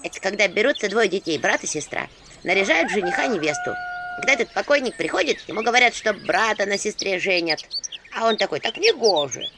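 Mischievous know-it-all imps in an audiobook , voiced by a woman narrator, matter-of-fact, lively, noisy hiss behind. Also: medium pitch, a little fast, close to an everyday voice. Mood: explaining. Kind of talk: audiobook character voice.

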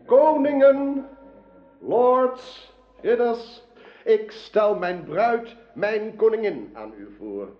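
Stately monarch, declamatory, swooping intonation, radio drama, roomy muffled recording. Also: male voice, sits high. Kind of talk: radio play acting.

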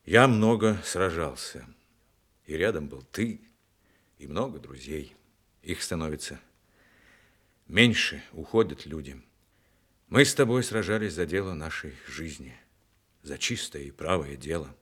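An actor playing a principled, dying old war veteran in a Soviet radio drama, speaking in a solemn, measured, earnest way. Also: male voice, extremely low pitch, animated, fairly bright tone, breathy edge, exaggerated voice.

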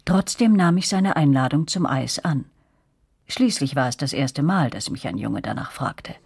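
A woman's voice: very deep voice, expressive, moderate pace, creaky, darkish tone, breathy edge, full character voice. A woman playing a first-person narrator recalling her girlhood in a scripted, reflective radio drama narration. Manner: reflective storytelling.

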